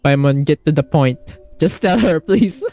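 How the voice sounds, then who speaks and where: A woman streaming a video game, giving casual, halting, informal reactions as she plays. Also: low voice, animated, warm tone. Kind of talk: casual gameplay commentary. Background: faint game music.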